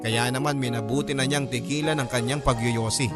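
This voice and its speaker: A man's voice: medium pitch, steady tone, fairly quick, over music, close to an everyday voice. A man with a Filipino accent narrating a radio drama as a remorseful grown child telling a family story. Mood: grateful. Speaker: story narrator reading a letter.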